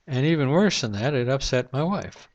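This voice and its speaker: A man speaks straight to his camera at home at night, rambling and reflective, with frequent "uh"s between thoughts. His voice sits at an ordinary middle pitch. The recording is dull and lo-fi. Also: expressive, normal speed, a little mellow. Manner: rambling, reflective, with many fillers. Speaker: vlogger. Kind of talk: casual monologue to camera. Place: home room at night, lamp-lit.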